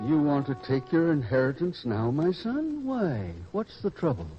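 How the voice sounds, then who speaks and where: An actor playing an older, kindly and worried farmer father in an old-time radio drama, earnest and measured as he pleads. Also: a little high, very animated, over loud music. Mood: puzzled concern. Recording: dull lo-fi radio recording.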